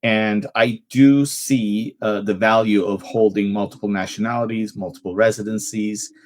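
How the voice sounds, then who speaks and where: A man's voice: on the low side, steady tone, moderate pace, no room sound. A man who works as an immigration attorney, telling anecdotes as a podcast guest with frequent "uh" fillers. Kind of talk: casual podcast interview.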